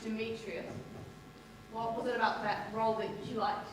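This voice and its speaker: A woman's voice: fairly high pitch, steady tone, moderate pace, close to an everyday voice. A woman playing the leader of a theatre company, encouraging and inquisitive in dramatic dialogue. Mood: probing, attentive. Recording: echoey hall, distant, background noise.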